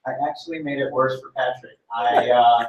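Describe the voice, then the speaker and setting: Chatty young emcee in an echoey hall. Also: male voice, medium pitch, steady tone, moderate pace, recorded from a distance, dull audio, noisy background. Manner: chatty, self-deprecating, laughs at own mistakes. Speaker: event organizer and emcee. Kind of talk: casual event emcee announcements. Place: large echoey event space.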